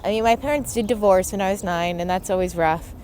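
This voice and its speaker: A young woman from Rhode Island recalling childhood in a thoughtful, measured way. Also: mid-range voice, darkish tone, a little hiss or hum. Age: young adult. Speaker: interviewee, playwright. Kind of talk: casual personal storytelling to camera.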